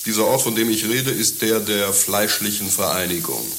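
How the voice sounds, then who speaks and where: A man's voice: on the low side, moderate pace, a bit bright. A man playing a mocking, mercenary servant in a satirical radio play, lecturing his friend with crude, pedantic condescension. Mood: mocking lecture. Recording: roomy, with faint hiss.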